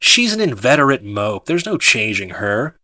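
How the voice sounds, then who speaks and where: A man's voice: medium pitch, very animated, normal speed, sharp tone, dry recording, voice pushed down, exaggerated voice. A man voicing a cynical, dry-witted male housemate in a blunt, sardonic manner.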